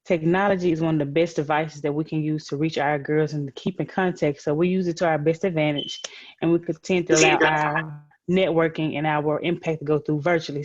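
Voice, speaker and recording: A woman's voice: deep voice, darkish tone, dry recording, muffled recording. A woman hosting an online program for young girls, speaking in an encouraging, announcer-like way. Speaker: host of a girls' group virtual story time.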